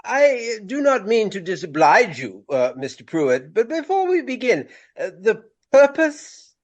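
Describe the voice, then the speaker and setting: A high, swooping voice for a vain old-fashioned stage gentleman in a radio drama. He is pompous and fussy, picking his formal words with small hesitations. The sound is slightly dull and lo-fi. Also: huge pitch swings, a little slow, heavily acted. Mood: fussy, puzzled. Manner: pompous, florid, hesitant with formal archaic speech. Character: vain, fussy Regency gentleman actor, greying, easily puzzled. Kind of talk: radio play acting.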